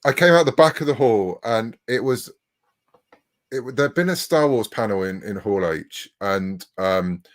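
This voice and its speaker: A man's voice: lowish voice, animated, unhurried. A man from Bristol with an English accent, chatting casually as an interview guest in a wry, self-deprecating way. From Bristol, England. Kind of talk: casual anecdotal chat.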